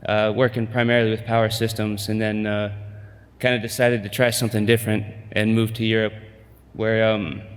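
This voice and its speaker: A man in middle age giving a conference pitch, hesitant with many fillers and restarts. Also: big-room sound. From United States. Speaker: company presenter.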